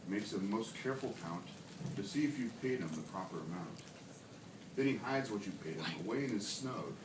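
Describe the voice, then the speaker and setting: A man reading a rhyming storybook aloud in a measured, theatrical way to children in a classroom. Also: medium pitch. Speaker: guest reader for a children's class. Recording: echoey noisy room, distant mic, background clatter.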